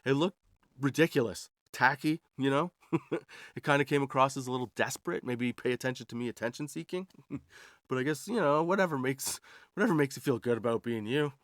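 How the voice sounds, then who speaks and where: A man's voice: medium pitch, brisk, dry recording, clean studio sound. A man in his forties telling stories in a casual, slangy, comedic way, as a podcast host amused by his own jokes. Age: 40s. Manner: slangy, ends remarks with "dude" and "right". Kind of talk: casual comedic storytelling monologue.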